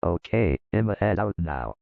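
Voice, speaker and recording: A man voicing a gruff, crude male computer virus announcer with a gravelly voice. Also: low voice, lively intonation, moderate pace, no room sound, full character voice. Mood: mocking. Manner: crude and mocking. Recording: dull lo-fi sound.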